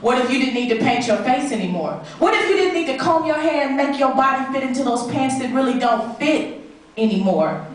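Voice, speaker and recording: A woman's voice: high-pitched, animated, brisk. A woman performing spoken word poetry live, with an emphatic, dramatic, rhythmic delivery. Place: live stage performance in an echoey room. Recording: very echoey room, distant mic.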